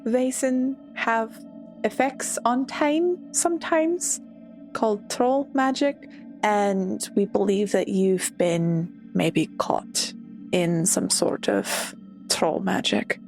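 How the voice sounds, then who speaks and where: A woman's voice: mid-range voice, darkish tone. A woman playing a tabletop roleplaying game, improvising narration and dialogue in careful, hesitant phrases.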